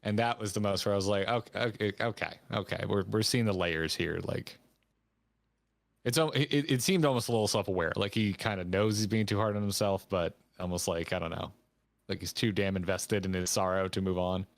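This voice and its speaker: A man's voice: on the low side, steady tone, a little fast, vocal fry, no room sound. A man giving casual, wry reaction commentary on game cutscenes, swearing freely as he co-hosts a stream. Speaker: co-host of a gaming reaction stream.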